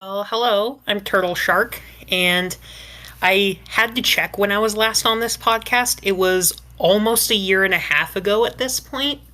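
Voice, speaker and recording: A woman's voice: mid-range voice, measured pace, sharp tone. A woman guest on a podcast panel, giving a casual, conversational update with self-corrections. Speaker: podcast guest, script writer.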